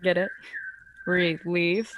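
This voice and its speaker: A woman's voice: on the low side, flat delivery, very slow, background noise. A young woman playing a jokey, self-assured outsider leaf with a wry manner in a student radio play. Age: young adult. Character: jokey, proud outsider female autumn leaf. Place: small improvised home recording space.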